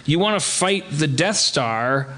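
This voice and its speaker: A man with a moderately high voice hosts a comedy podcast. He talks in a loose, rambling way, stammering over restarts and tossing in profanity. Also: expressive, a little slow, fairly bright tone. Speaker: podcast host.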